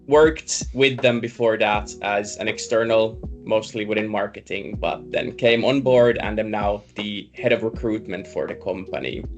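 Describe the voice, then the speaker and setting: A man's voice: lowish voice, moderate pace, few pauses, muffled recording, faint music behind. A man whose first language is German, grew up in Finland, chatting as a recruitment guest in a friendly, enthusiastic way with frequent restarts. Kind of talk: casual interview chat.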